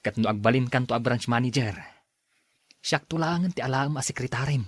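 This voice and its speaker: An actor with an Ilocano Filipino accent playing a friendly, teasing workmate in a radio drama. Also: male voice, medium pitch, fairly quick, no echo, close to an everyday voice. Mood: wry. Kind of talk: acted radio drama dialogue.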